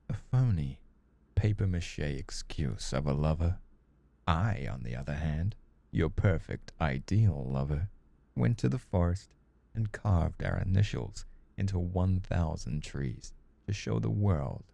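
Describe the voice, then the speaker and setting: Man acting a possessive yandere brother, extremely deep, creaky voice, pompous, melodramatic, condescending, comedic audio roleplay. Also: expressive, takes it slowly, warm tone, no echo, exaggerated voice. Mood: grandiose.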